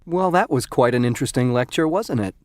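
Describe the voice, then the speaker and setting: An actor playing a friendly young male university student in a scripted textbook dialogue, speaking clearly. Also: medium pitch, a little fast, no room sound, lightly acted. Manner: lively, clearly enunciated. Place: recording studio.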